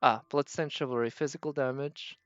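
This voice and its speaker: A man's voice: mid-range voice, fairly even intonation, brisk, smooth, dull audio. A man doing casual live game commentary, thinking aloud and trailing off as he goes. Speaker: game streamer.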